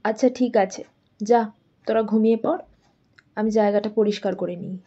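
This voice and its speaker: A woman's voice: medium pitch, steady tone, fairly quick, dark tone, natural voice. A woman playing a worried, loving village mother of young children in a dramatized doll story. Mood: tender. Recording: muffled audio with faint music.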